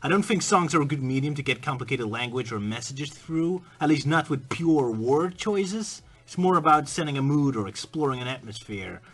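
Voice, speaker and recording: Bright-voiced man musing casually. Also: mid-range voice, lively intonation, moderate pace, hardly any pauses, slightly rough. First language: Dutch. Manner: musing, conversational, bursts into playful mock singing. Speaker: video essay host. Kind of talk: casual spoken commentary.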